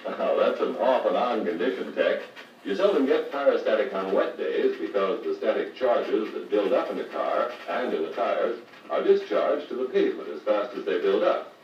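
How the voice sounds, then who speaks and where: An American actor playing a patient car radio service instructor, explaining methodically in a 1950s training filmstrip style. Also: male voice, on the low side, animated, moderate pace, lightly acted. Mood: patient, instructive. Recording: muffled, noisy, echoey 1950 record playback, distant mic.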